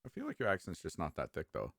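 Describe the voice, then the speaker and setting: A man's voice: low voice, wildly swooping pitch, fast, gravelly, a bit bright, dry recording, studio quality. A man co-hosting a casual chat, joking around and sometimes trailing off mid-thought. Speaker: podcast co-host.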